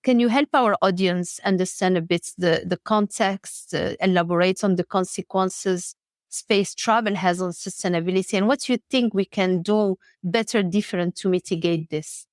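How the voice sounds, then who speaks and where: Lower-pitched woman from Morocco hosting. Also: normal speed, no echo, studio quality. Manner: warm, encouraging, frequent affirmations. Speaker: podcast host. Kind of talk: interviewer.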